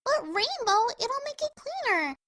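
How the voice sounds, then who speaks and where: A very high, bright cartoon pony girl, gleeful and hungry. She squeals with childlike eagerness and swoops around in pitch. It is an excitable storybook character voice. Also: measured pace, full character voice. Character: gleeful, gluttonous, hyperactive young female cartoon pony. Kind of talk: character voice in a fan fiction reading.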